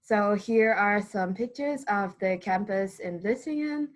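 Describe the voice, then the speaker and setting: A woman's voice: mid-range voice, measured pace. An eighteen-year-old woman from Ireland presenting a webinar as a student, upbeat and promotional.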